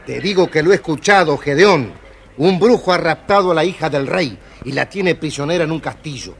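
An actor playing a gossiping tavern customer, confiding and emphatic, in a children's audio story. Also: male voice, on the high side, brisk, vocal fry, a little hiss or hum. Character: gossiping tavern customer sharing a strange tale.

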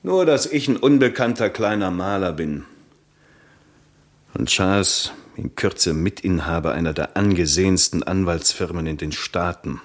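Low-voiced envious man, lively delivery. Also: moderate pace. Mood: wistful envy. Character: brooding, envious young painter, friend of a lawyer. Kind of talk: 1960s German radio play acting.

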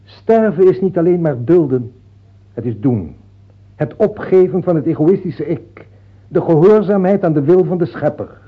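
A man's voice: fairly high pitch, animated, moderate pace, breathy edge. An actor playing a saintly, frank holy father in a radio drama, speaking solemnly and admonishingly to a king. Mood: earnest, admonishing. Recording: muffled, tinny old radio-play recording.

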